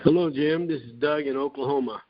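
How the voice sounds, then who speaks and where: A man calling in to give commentary, with deliberate, halting phrasing. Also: on the high side, no echo. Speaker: caller. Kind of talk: measured commentary on a call. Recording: dull, lo-fi phone call.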